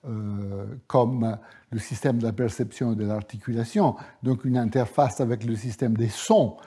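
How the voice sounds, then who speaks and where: A male professor whose first language is Italian, giving a university lecture at a measured pace with frequent hesitations and fillers. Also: mid-range voice, warm tone, husky. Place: lecture hall.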